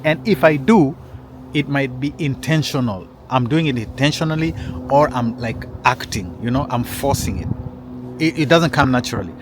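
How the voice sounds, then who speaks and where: A man's voice: mid-range voice, background noise. Rambling storyteller man. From Kenya. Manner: rambling, with frequent restarts and asides to listeners. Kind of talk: casual personal storytelling to an audience.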